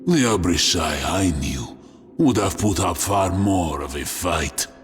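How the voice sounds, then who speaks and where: A man voicing a brutal, grieving super-soldier in a science-fiction audio story, with gravelly, snarling, scornful enunciation. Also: deep voice, animated, slow pace. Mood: cold contempt. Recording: long cavernous reverb over music.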